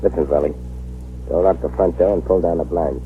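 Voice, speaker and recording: A deep-voiced, menacing gang boss, played by an actor who gives brutal orders coolly in an old radio drama. The recording is thin and phone-like with clear hiss from an old broadcast. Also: male voice, fairly even intonation, a little fast, close to an everyday voice. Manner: low, menacing, matter-of-fact. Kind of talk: 1940s radio acting.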